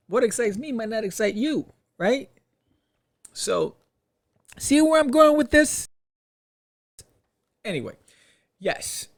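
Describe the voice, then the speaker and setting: Gravelly-edged, higher-pitched male podcast host. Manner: animated, punctuates points with rhetorical questions and "right?". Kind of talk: casual advice talk, reading an article aloud with commentary.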